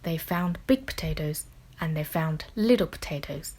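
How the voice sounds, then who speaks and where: A woman reading a children's picture book aloud in expressive, deliberate narration with dramatic phrasing. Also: low-pitched. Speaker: children's story reader.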